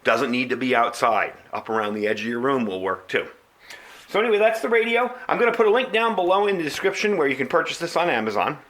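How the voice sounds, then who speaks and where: A man's voice: medium pitch, lively intonation, quick pace, a bit bright. A middle-aged man with an American accent giving a casual, conversational product review, explaining things as he demonstrates them.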